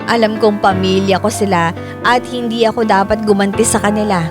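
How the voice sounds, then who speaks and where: Female narrator played by an actress for radio drama: earnest, indignant first-person storytelling over a clear music bed. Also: medium pitch, steady tone, fairly quick, natural voice. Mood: hurt, resolute.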